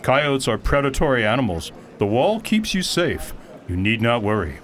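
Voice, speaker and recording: A man playing a polite, literal-minded mentor guide in an audio drama, speaking in a formal, measured and precise way. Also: mid-range voice, sing-song, a bit bright. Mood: courteous, unruffled. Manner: formal, measured, precise phrasing with few contractions.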